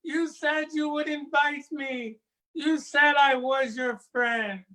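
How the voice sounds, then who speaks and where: A man's voice: very high-pitched, slow, pushed and bright, recorded from a distance, pitched above the performer's own voice, full character voice, low-quality recording. A man voicing a cautious little girl in a folktale, speaking in a raised, emphatic voice. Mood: betrayed. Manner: wary, then indignant. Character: wary, kind little girl.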